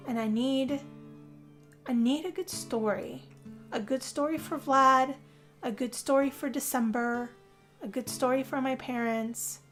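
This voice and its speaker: Casting: female performer as moody young woman narrating her own game story, highish voice, drawn-out confessional delivery, music behind. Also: a bit bright. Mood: frustrated. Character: young woman Yazmin, moody, weary, being turned vampire. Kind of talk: first-person dramatic role-play narration over a game. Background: guitar music.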